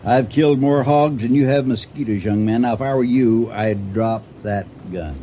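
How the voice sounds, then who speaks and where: A man acting mellow-voiced, shrewd old farmer, radio drama. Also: mid-range voice, slight background noise, close to an everyday voice. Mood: firm, threatening. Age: older. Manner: measured, courteous, dryly admonishing. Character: calm, shrewd elderly farmer, dryly firm with a young thug.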